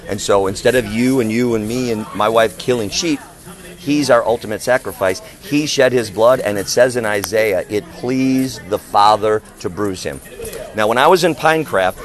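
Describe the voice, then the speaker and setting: A man's voice: on the low side, expressive, moderate pace. A man with a big voice preaching on the street in an emphatic, declamatory manner full of rhetorical questions. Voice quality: big, loud voice. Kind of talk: impassioned street preaching and open-air discussion.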